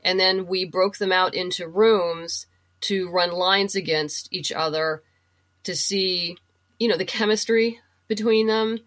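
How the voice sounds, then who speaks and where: Lower-pitched woman in a casual interview. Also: steady tone, unhurried, fairly bright tone. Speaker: interview guest, writer and producer.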